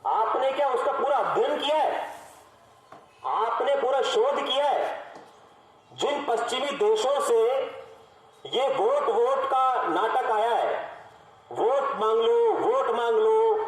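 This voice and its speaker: A man giving an emphatic, challenging political street speech, repeating phrases and asking rhetorical questions over a loudspeaker. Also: extremely high pitch. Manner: exhorting, challenging. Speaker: political activist orator. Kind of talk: street election speech, oratory. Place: public address over loudspeaker. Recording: loudspeaker speech, heavy echo, some noise.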